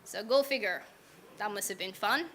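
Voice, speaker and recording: Bright-voiced young woman from Iran. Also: mid-range voice, normal speed. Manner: casual, frank and wry, asks the audience questions. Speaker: storyteller speaking to an audience. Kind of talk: personal story told to a live audience.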